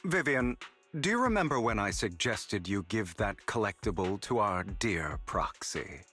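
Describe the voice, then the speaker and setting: An actor playing a suave, scheming male trickster in an adventure game, with polished, deliberate, theatrical delivery. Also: fairly low pitch, sing-song, sharp tone, faint music behind, full character voice. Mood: smooth, self-satisfied. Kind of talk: video game voice acting.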